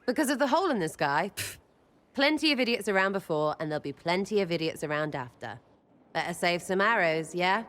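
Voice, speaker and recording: An actress playing a brash, irreverent young archer with a British accent in a video game, blunt and colloquial. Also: female voice, on the low side, lively intonation, brisk, fairly bright tone. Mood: cheeky, dismissive. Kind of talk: dramatic video game dialogue. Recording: reverberant room.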